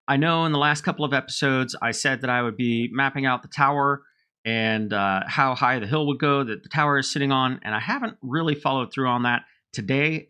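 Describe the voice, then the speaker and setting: A man with a slight head cold, narrating his own game playthrough in a friendly, relaxed way. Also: medium pitch. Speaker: let's play host. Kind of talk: gameplay commentary.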